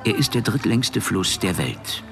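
A composed documentary narrator in an audio drama. His voice is very deep, and he delivers the story in a measured, factual way. Music plays clearly behind him. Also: expressive. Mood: informative.

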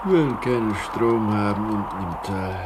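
An actor playing an anxious cable-car worker who trusts in calculations, in a German radio play. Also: male voice, lowish voice, moderate pace, very noisy, close to an everyday voice. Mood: weary.

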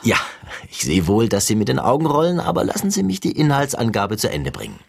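An actor playing an exasperated, earnest and wordy literary interviewer in a radio satire. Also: male voice, medium pitch, sing-song, brisk, vocal fry, husky, exaggerated voice. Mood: pompous, indignant. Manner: pompous, wordy, indignant.

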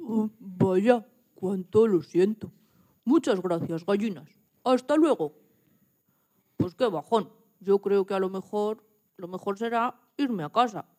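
A woman's voice: medium pitch, slow, long pauses, mellow. A woman voicing a small, anxious and polite piglet in a children's radio play, exclaiming and muttering questions to itself. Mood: dejected. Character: small anxious, polite young piglet searching for lost chops.

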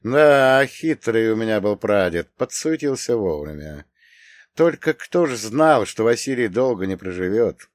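A man's voice: fairly low pitch, big pitch swings, a little slow, no echo, studio quality. A man voicing a self-assured young nobleman, the wry hero of a historical audiobook, with emphatic delivery. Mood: musing. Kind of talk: acted audiobook dialogue.

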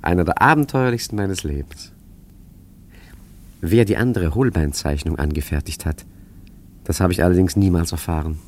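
An actor playing a suave, scheming gentleman crook in a 1950s German radio play, urbane, wry and condescending. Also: sits low, normal speed, pauses often, slight background noise, lightly acted. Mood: wry, reflective.

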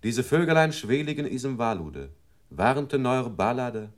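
An actor playing a computer voice in a radio play, reciting verse in a measured, formal way. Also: male voice, mid-range voice, animated, close to an everyday voice.

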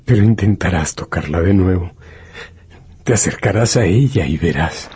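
An actor narrating a literary radio drama as a solemn, brooding, deliberate storyteller. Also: male voice, low voice, animated, darkish tone. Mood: solemn, ominous.

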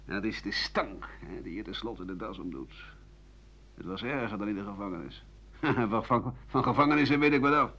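A man's voice: fairly low pitch, brisk, pushed and bright, breathy edge, full character voice. An actor playing an embittered ageing writer and doctor who rambles sardonically through his memories in a radio monologue. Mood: bitter reminiscing. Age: older. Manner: rambling, bitter, sardonic, with muttering and dry chuckles. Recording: dull 1980 radio play recording.

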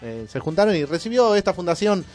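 Halting male radio host over faint music. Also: sits high, big pitch swings, darkish tone. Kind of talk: casual chat in a comedy radio show.

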